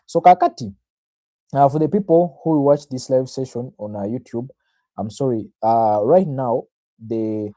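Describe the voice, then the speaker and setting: A man's voice: medium pitch, unhurried, mellow, no room sound. A Ugandan man, a native Luganda speaker, hosting an informal live market analysis, self-assured, talking straight to viewers and repeating phrases to drive them in. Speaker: trader, livestream host.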